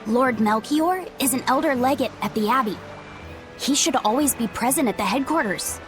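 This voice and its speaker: An earnest young woman of a holy order speaks in a high, polite voice. It is animated game acting over faint music. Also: moderate pace. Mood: formal. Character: earnest, polite, naive young female exorcist.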